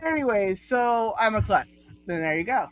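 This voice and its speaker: A man rambles through stories on a casual panel and giggles often. His voice is high and bright, with big swings in pitch, and the sound is dry and close. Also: lively intonation, moderate pace, dry recording. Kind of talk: casual chat.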